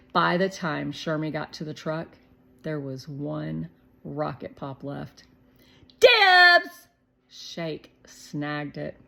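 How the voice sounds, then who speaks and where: A woman narrating a children's storybook in an expressive, deliberate way. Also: deep voice, gravelly. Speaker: read-aloud narrator.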